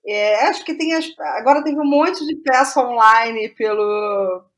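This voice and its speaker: A Brazilian woman speaking as a guest playwright in a casual interview, digressive and halting, often restarting her words. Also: a little high, fairly bright tone.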